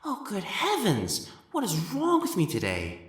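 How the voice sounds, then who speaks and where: A man's voice: high-pitched, huge pitch swings, a little slow, a bit bright, slightly rough, full character voice. A man voicing an elegant, effeminate male matchmaker in a scripted audio roleplay, prim and formal, haughty yet easily flustered. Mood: flustered, sharp.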